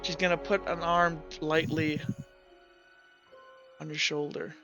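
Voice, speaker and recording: A man's voice: fairly high pitch, flat delivery, slow, bright, forceful tone. A man acting as game master, improvising narration and handling table talk in a tabletop roleplaying game. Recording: dull muffled audio, music behind.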